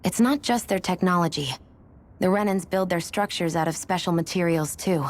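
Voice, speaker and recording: A woman's voice: fairly low pitch, normal speed, natural voice. A woman playing a cool, pragmatic young warrior in a video game cutscene, composed and matter-of-fact. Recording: music behind the voice. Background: game soundtrack music.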